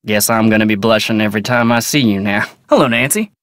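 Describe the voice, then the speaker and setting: A man's voice: lowish voice, fast, fairly bright tone, dry recording, clean studio sound, natural voice. A man playing a polite, plain-spoken, guarded ranch hand in a video game, with a folksy, drawling American accent. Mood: polite, firm. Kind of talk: video game character dialogue.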